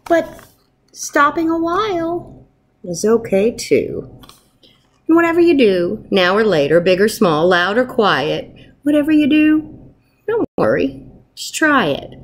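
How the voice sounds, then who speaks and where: Unhurried, higher-voiced woman, encouraging teacher. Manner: encouraging, expressive. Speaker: first grade teacher. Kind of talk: warm informal message to pupils and picture-book read-aloud. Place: wood-ceilinged room at home, indoors. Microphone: webcam at arm's length.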